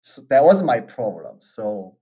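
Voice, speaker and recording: Soft-spoken Korean-accented person. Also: mid-range voice, sing-song, unhurried, slightly rough, poor audio. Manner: soft-spoken, long roundabout sentences. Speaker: interviewer. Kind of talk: casual interview conversation.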